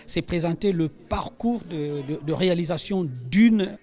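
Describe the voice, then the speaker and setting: A man's voice: high-pitched, measured pace, warm tone. A man who illustrates comic books, speaking hesitantly in an interview.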